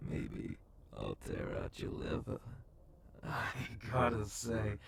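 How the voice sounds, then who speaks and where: A man acting a gravelly, menacing cyborg gang boss. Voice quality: low, gravelly, breathy half-whisper. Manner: slow, menacing, drawn-out taunting. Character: sadistic male cyborg bounty hunter gang boss. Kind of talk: ASMR horror roleplay acting.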